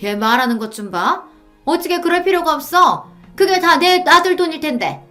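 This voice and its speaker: A woman's voice: extremely high pitch, sing-song, normal speed, pushed and bright, soft background music, voice pushed up, heavily acted. A woman voicing a domineering, shameless older mother-in-law who harangues and demands, in a dramatized story reading. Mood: indignant.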